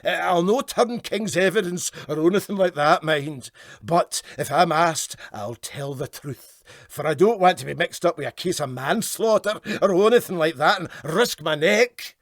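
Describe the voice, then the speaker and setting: A man's voice: fairly high pitch, normal speed, no echo, clean studio sound, pitched above the performer's own voice, natural voice. A man voicing a self-righteous Scottish ship's engineer in a comic story, emphatic and scornful. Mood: emphatic warning. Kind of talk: comic storybook character reading.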